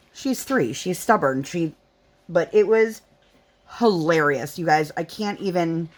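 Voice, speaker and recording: Casual mom vlogger, lower-pitched, easygoing storyteller who keeps the chat loose and acts out quotes. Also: female voice, darkish tone. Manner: chatty, rambling, lots of fillers, acts out quotes. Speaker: vlogger, mother. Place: at home.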